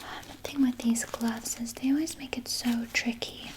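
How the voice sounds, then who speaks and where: A woman playing a vampire nurse in an ASMR roleplay, whispering breathily and coddling the listener with quiet menace. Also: on the high side, steady tone, lightly acted. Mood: gentle, matter-of-fact. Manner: slow, soothing, coddling with veiled threat. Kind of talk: ASMR roleplay, personal attention.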